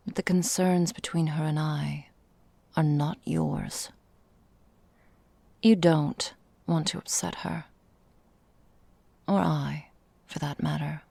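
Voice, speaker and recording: Woman acting a slow, breathy vampire mistress. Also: low voice, warm tone, no echo, heavily acted. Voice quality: breathy, whispery. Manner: formal, archaic, languid phrasing. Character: seductive, possessive female vampire mistress, refined and predatory. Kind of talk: ASMR roleplay, intimate close whispering.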